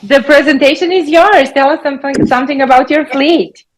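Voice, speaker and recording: Host woman, fairly high voice, quick friendly prompts. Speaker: event host. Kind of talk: hosting a company presentation.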